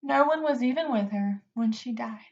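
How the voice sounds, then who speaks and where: A woman reading a children's book aloud as an expressive narrator. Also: on the high side, moderate pace, dull audio.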